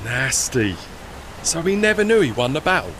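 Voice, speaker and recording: A man's voice: medium pitch, sing-song, normal speed, lightly acted. Man as working-class tugboat, radio play, cheeky, proud, folksy, bouncy intonation, slight background noise. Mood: curious. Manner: colloquial, folksy, non-standard grammar. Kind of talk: audio drama acting.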